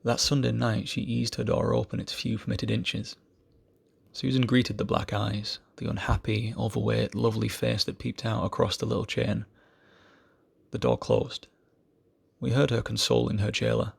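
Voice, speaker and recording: A man giving an amateur poetry reading in a solemn style, with measured, deliberate phrasing. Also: mid-range voice, level tone, creaky, breathy edge.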